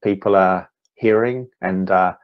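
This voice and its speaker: A man in his fifties with a New Zealand accent, giving an online talk as a meditation teacher, speaking slowly and deliberately with gentle pauses. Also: low-pitched, fairly even intonation, phone-quality sound. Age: 50s. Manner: slow, deliberate, gently pausing. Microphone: video call.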